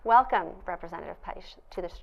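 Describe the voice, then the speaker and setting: A woman's voice: lowish voice, lively intonation, fast talker, vocal fry, lo-fi sound. A woman hosting an interview show in a studio, speaking in an effusive, admiring way. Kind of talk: formal scripted introduction, then conversational interviewing.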